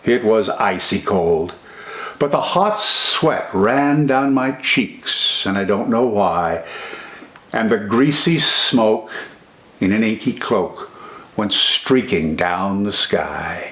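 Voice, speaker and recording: A man's voice: fairly low pitch, animated, slow pace, fairly bright tone. An older man reciting a narrative poem from memory with enthusiastic, theatrical delivery. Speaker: poetry reciter. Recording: roomy echo.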